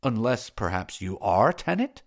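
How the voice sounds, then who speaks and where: A man's voice: on the low side, sing-song, unhurried, creaky, voice pushed up, full character voice. A man doing a passionate mercenary chief. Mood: adoring. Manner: passionate, pleading, adoring. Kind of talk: audiobook character voice.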